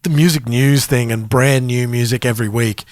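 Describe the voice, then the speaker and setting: A man's voice: mid-range voice, steady tone, moderate pace, darkish tone, dry recording. A man with a mid-range voice speaks casually as a podcast co-host. He works through his thoughts aloud in a conversational way, and the recording is close and dry. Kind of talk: casual music podcast chat.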